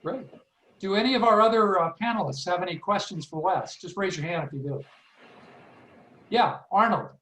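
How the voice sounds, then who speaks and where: Echoey, slightly high-voiced man moderating. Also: moderate pace, long pauses, sharp tone, a little hiss or hum. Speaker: seminar host and moderator. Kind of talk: casual moderated discussion. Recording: roomy, echoey call audio.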